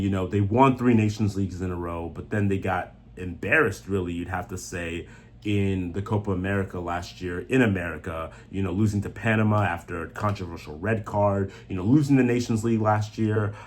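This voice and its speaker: A man with a fairly low, husky voice talks through soccer opinions off the cuff. He is blunt and emphatic, often repeating a phrase to drive it home. The delivery is that of an opinionated sports commentator speaking freely.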